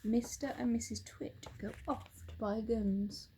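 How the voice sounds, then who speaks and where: A woman reading a children's story aloud in an expressive narrating style. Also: fairly high pitch, a little slow, slightly rough. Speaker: storybook reader, teacher.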